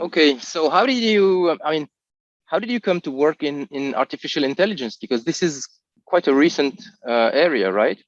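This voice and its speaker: A man's voice: fairly high pitch, big pitch swings, fast, no room sound. Hesitant male interviewer, dry dull sound. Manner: hesitant questions with many fillers, affirming praise. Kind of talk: interview questions.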